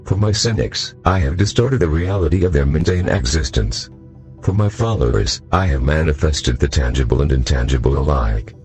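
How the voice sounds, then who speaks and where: An ancient male jinn, played by a man, speaking in an extremely deep voice. He is grand and ominous, declaiming solemnly as a visual novel spirit over music. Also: expressive, normal speed, a little mellow. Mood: solemn, imposing.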